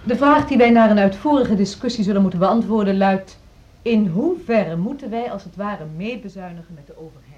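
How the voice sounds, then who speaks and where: An actress playing a brisk, formal chairwoman in a radio drama, with measured, emphatic delivery. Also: medium pitch, animated, darkish tone, lightly acted. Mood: formal, businesslike. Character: brisk, formal woman chairing a music school board meeting. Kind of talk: radio drama acting, formal meeting address. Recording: dull lo-fi radio drama recording.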